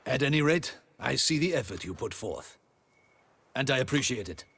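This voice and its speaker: An actor playing a proud, courteous knight in a video game, with a raspy voice and formal, earnest phrasing. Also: male voice, mid-range voice, expressive, moderate pace, a bit bright, exaggerated voice. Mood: formal, respectful.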